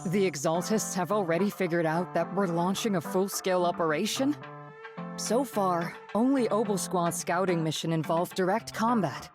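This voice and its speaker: Woman acting composed, low-voiced military colonel over music. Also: lightly acted. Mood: grave, restrained. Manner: formal, measured military briefing delivery. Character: composed, weary senior female military colonel, gruff but protective. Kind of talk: English-dubbed video game voice acting.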